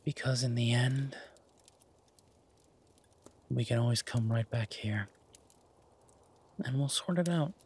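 Husky, slow-talking tender werewolf boyfriend, acted by a man. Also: medium pitch, a little mellow. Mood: tender, reassuring. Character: tender, teasing, easygoing male werewolf boyfriend. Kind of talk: intimate romantic audio roleplay.

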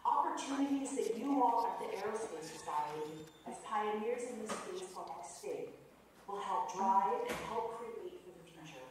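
A very echoey, distant recording of a middle-aged woman with a medium-pitched voice. She reads a formal prepared greeting over a video call. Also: animated, normal speed. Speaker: political field representative. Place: small room at home, video call. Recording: very echoey, distant, faint noise.

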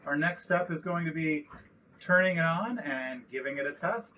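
A man's voice: on the high side, normal speed, far from the mic, lo-fi sound, slight background noise. A man in his thirties walking through a repair step by step while working in a cramped sailboat cabin, recorded on a nearby action camera. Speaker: boat owner showing a repair. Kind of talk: hands-on how-to walkthrough.

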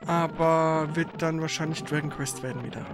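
Chatty man over loud game music. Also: a little high, steady tone, normal speed. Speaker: streamer and co-host. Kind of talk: casual live game stream chat.

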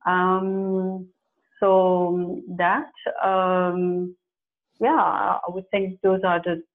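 A woman's voice: fairly low pitch, little pitch movement, drawn-out words. A woman in her forties whose first language is Dutch, a career coach answering questions thoughtfully on a video call from her home office, with many fillers and restarts. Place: home office with plants and pictures. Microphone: earphone mic on a video call. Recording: phone-like narrow sound.

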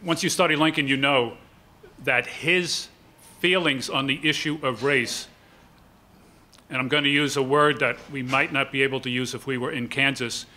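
An older man moderates a panel discussion at a table microphone. His voice is bright and forceful at a middle pitch. He explains points in a measured, hesitant way. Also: steady tone. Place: lecture hall, at a panel table.

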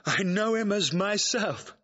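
An actor playing an ardent, witty young gentleman in love, speaking courtly, quick-witted Shakespearean verse. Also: sits high, animated, slightly rough, dull audio, heavily acted. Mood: earnest, courtly. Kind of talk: classical Shakespearean audio drama acting.

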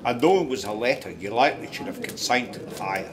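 An actor playing a wistful, gossipy Scottish narrator in a radio drama, musing conversationally with hesitant asides. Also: male voice, mid-range voice, expressive, fast, natural voice. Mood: rueful, musing. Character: wistful, gossipy male Scottish storyteller, fond of his friend.

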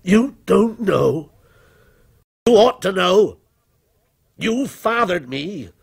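Man acting out a menacing, gravelly avenging villain. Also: on the high side, very animated, heavily acted. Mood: slow, taunting. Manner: taunting, bitter, deliberate. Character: menacing, vengeful male fictional criminal come to life as a policeman.

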